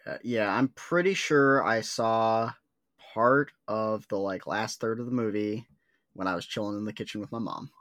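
A man's voice: lowish voice, normal speed, a bit bright, no room sound. A man co-hosting a podcast, chatting casually about movies with many fillers like "like" and "uh". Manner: rambling, lots of "like" and "uh". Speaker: podcast co-host.

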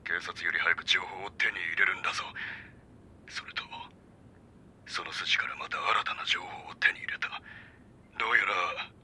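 An actor playing a demanding magazine editor in an audio drama, brusque and commanding, with a breathy voice. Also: male voice, low voice, huge pitch swings, normal speed, long pauses, bright, forceful tone, whispered, exaggerated voice. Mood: commanding, secretive. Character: gruff, demanding male magazine editor boss. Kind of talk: Japanese audio drama dialogue.

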